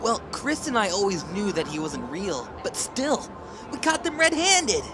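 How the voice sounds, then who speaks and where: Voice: medium pitch, big pitch swings, a little slow. An actor playing a chatty, guileless youngster telling stories in a sci-fi audio drama. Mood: gleeful. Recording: clear music and sound behind.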